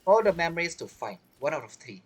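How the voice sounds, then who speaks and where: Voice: medium pitch, sing-song, quick pace, slightly rough. A gamer giving casual gameplay commentary with informal, muttered asides. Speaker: gaming video creator. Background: game music.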